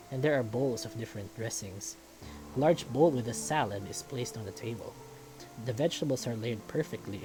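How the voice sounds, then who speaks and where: A man narrates a visual novel as a scripted first-person story. His voice sits at an average male pitch. Music plays clearly underneath. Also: rough recording.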